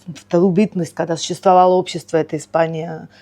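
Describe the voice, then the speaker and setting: Mellow-voiced woman chatting on a podcast. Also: fairly low pitch, little pitch movement, fairly quick. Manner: chatty, agreeing often with repeated yeses. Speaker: podcast co-host and Russian teacher. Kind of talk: casual conversational podcast.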